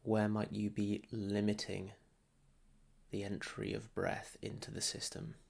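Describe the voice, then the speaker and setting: Slow, low-voiced man guiding meditation. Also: completely flat delivery, gravelly. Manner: soothing, unhurried, with long pauses between short phrases. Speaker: meditation teacher. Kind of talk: guided meditation, calm instruction.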